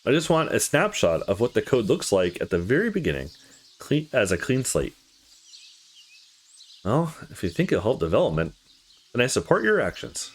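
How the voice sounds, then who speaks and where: A man reading visual novel dialogue aloud in a casual, expressive way, as a let's play host. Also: medium pitch.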